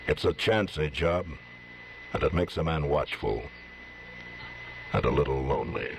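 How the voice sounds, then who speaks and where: An actor playing a lonely, watchful frontier marshal in a 1950s radio drama, speaking slowly and solemnly. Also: male voice, animated, full character voice. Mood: grave. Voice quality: deep, gravelly, slow and solemn. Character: lone watchful frontier lawman, grown man.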